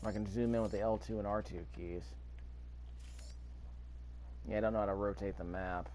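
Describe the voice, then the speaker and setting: Low-voiced man muttering through a game. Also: fairly even intonation, moderate pace, a little mellow, a little hiss or hum. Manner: thinking aloud, mildly grumbling, rhetorical questions. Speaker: video game player narrating a playthrough. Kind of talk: casual gameplay commentary.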